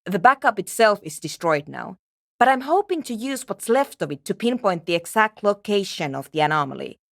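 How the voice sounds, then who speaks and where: Driven female programmer, video game dialogue, lively swinging intonation, dry close sound, brisk and technical. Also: medium pitch, creaky, no echo. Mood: businesslike, impatient. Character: driven, curt woman programmer, intellectual and absorbed in research. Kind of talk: scripted game character dialogue.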